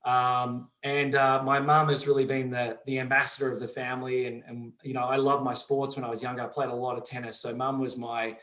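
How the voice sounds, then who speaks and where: A middle-aged man with a softened Australian accent, answering interview questions in a measured, explanatory way with frequent fillers. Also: mid-range voice, little pitch movement. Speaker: company CEO, guest. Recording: roomy echo.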